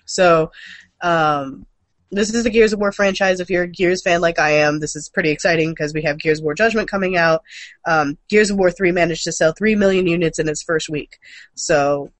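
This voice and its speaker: A man's voice: on the high side, moderate pace, fairly bright tone, dry recording. A man co-hosting a casual gaming and comics news chat, informal and a little sweary in how he talks. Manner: informal, mildly profane. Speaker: show host.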